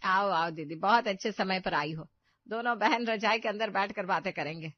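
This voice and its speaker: A woman's voice: medium pitch, moderate pace, no room sound, natural voice. A woman voicing a mild, conciliatory elderly mother-in-law in a Hindi cartoon family story. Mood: welcoming. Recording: dull, muffled studio voice track.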